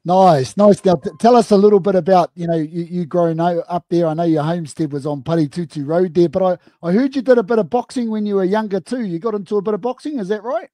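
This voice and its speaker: Upbeat New Zealand radio host, high mellow voice, dry close sound. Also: male voice, fast talker, few pauses, no echo. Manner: upbeat, mixes te reo Māori greetings into English. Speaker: radio sports show host.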